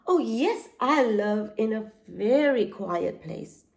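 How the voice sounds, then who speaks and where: A woman's voice: fairly high pitch, expressive, slow pace, creaky, a little mellow, heavily acted. A woman voicing a helpful mole who lives in a hole underground. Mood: eager.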